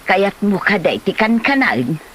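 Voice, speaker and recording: An older mother acted by a woman lecturing in a comedy audio drama. She admonishes with lively intonation, and the recording carries faint noise. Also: medium pitch, unhurried. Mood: reproving. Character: older mother admonishing her grown son. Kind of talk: comedy radio-style drama acting.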